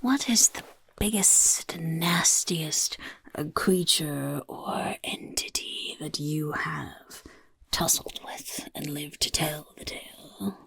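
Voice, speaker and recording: Woman playing a sly goblin interviewer, hushed husky fry, slow and low. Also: fairly even intonation, full character voice. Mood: sly, whispery. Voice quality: gravelly whisper. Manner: refers to itself in the third person, sly and skeptical. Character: sly scheming goblin recruiter, self-important. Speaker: ASMR performer. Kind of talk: soft-spoken ASMR roleplay.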